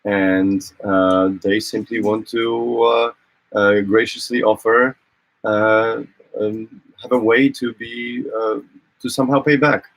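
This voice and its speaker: A man whose first language is Polish, co-hosting a casual livestream from a boat, talking in halting phrases full of fillers and restarts. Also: lowish voice, flat delivery, no room sound, low-quality recording. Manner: hesitant, many fillers and restarts. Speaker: co-host of a sailing channel livestream.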